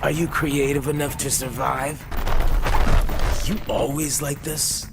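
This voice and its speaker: A man's voice: on the high side, level tone, takes it slowly, vocal fry, noisy background. A young man playing a cocky, quippy fire-powered superhero in a video game. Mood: teasing. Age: young adult. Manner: sarcastic, quippy. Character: cocky young fiery superhero, wisecracking and brash. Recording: game audio under loud music.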